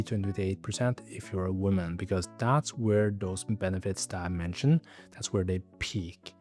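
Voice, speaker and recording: A fitness coach talking straight to camera in a scripted video, direct with a dry, joking manner. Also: male voice, fairly low pitch, darkish tone.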